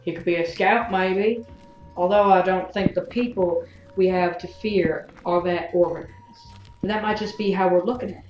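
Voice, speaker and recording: A dull, roomy recording with music behind carries a sardonic female gunslinger played by a woman. She needles with wry rhetorical questions, a sharp-tongued pilot from a science fiction audio drama. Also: fairly low pitch, fairly even intonation, normal speed, natural voice. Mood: dry, wary.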